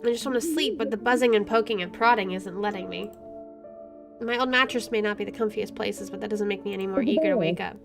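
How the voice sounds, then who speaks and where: A woman's voice: mid-range voice, quick pace, creaky, over music. A woman hosting a gaming playthrough, reading the story text aloud dramatically and adding playful, joking asides. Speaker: gaming video host.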